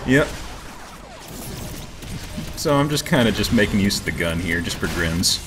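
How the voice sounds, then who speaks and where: A man's voice: sits low, expressive, unhurried, noisy background. A man giving casual, dryly joking commentary as he plays a video game. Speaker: let's play host. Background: game music.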